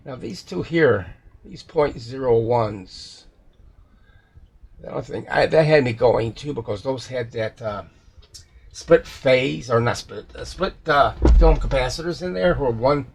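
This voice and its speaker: A man with a gravelly, husky voice giving a casual, rambling repair walkthrough, hesitating and correcting himself as he goes. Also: medium pitch. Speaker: repair technician.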